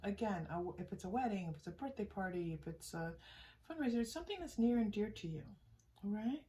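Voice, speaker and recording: A woman giving an improvised spiritual tarot reading straight to the viewer, in a halting, conversational way that often seeks agreement. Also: mid-range voice, animated, recorded from a distance, background noise. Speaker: tarot reader and channel host.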